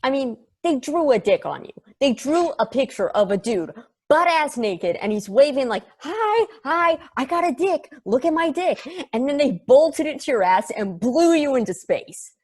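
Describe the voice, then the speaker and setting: A woman plays a brash robotic prankster of a space probe, crude and irreverent. The voice is fairly high and raspy. It goads in loose, slangy talk, as in a stageplay reading. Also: very animated, normal speed, few pauses, darkish tone. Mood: irreverent, goading. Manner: slangy, goading, foul-mouthed. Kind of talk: stageplay table read.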